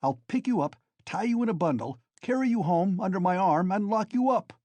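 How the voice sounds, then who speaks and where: A loud, blustering young man, as a male narrator acts him out, scolding in a raised voice that leaps up and down. Beneath it the reader's tone stays mellow and faintly husky, recorded close and dry. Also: high-pitched, lively intonation, brisk, dry recording, voice pushed up. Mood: furious scolding. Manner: shouting, scolding.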